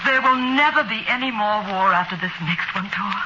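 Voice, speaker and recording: A woman's voice: mid-range voice, brisk, natural voice. Radio drama casting: a woman plays hopeful believer, noisy, dull-sounding 1940s broadcast. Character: hopeful woman believing in one last war.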